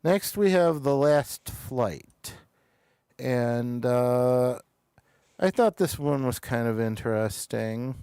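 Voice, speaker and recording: A man's voice: mid-range voice, animated, takes it slowly, warm tone, clear, resonant tone, no room sound, studio quality. A man hosting a casual review chat, often hesitant as he searches for words and details. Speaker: show host reviewing television episodes.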